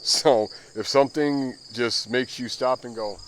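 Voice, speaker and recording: A man in his late fifties talks through his spray painting in a chatty, self-deprecating ramble. His voice sits at an ordinary middle pitch. Crickets and insects chirp clearly behind him outdoors. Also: measured pace, a little hiss or hum. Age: 50s. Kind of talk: running commentary while working.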